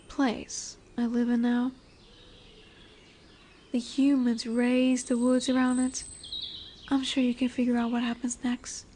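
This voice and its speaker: A woman voicing a dominant lamia in a roleplay audio, curious and condescending yet nurturing, speaking deliberately with teasing, soothing near-whispers. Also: sits high, warm tone. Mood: wry, wistful. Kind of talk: slow roleplay audio monologue addressed to the listener. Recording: birdsong and woodland ambience behind. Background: birdsong, forest ambience.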